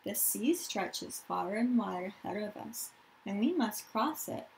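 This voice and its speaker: Slow-paced woman reading to children. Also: mid-range voice, animated, creaky, a little mellow, slight background noise, poor audio. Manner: dramatic, deliberate storytelling delivery, addressing children directly. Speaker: teacher reading a picture book aloud to pupils. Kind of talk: expressive children's story read-aloud with explanatory asides.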